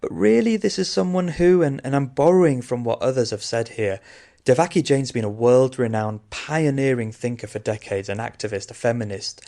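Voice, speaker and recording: A man co-hosts a podcast in easy, casual conversation. He sounds eager and often doubles words as his thoughts run ahead, at a middling pitch. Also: normal speed, vocal fry, mellow. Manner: eager, repeats words as he talks. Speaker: podcast co-host. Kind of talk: casual chat.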